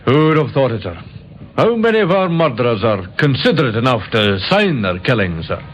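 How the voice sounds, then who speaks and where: Vintage radio role: plain-spoken, respectful sergeant, acted by a man, animated delivery, light hiss. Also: medium pitch, lightly acted. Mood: impressed. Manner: brisk, obedient, plain-spoken. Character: deferential, slightly puzzled male police sergeant sidekick. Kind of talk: old-time radio drama acting. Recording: hissy old radio recording.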